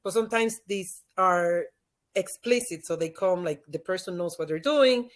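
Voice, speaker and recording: A woman's voice: lowish voice, normal speed. A woman from the Dominican Republic with a Dominican Spanish accent, a native Spanish speaker, explaining her points emphatically in a conversational interview as a guest expert. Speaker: podcast guest, leadership expert and former teacher.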